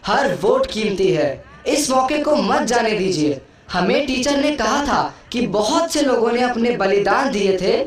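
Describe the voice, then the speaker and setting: An earnest schoolchild played by a woman pleads with elders in a puppet show. The highish voice is declamatory, picked up from afar with heavy echo. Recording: very echoey hall, distant mic.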